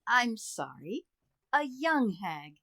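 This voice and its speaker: Woman playing a wry, unhurried sitcom housewife. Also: on the high side, sing-song, no echo, heavily acted. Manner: dry, gently sarcastic. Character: wry, patient housewife and mother in her thirties. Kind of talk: old-time radio sitcom acting.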